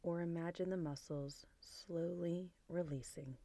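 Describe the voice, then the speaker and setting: Female meditation guide: mellow, unhurried, soothing delivery, soft near-whispered endings. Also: on the low side, steady tone. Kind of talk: guided body scan meditation.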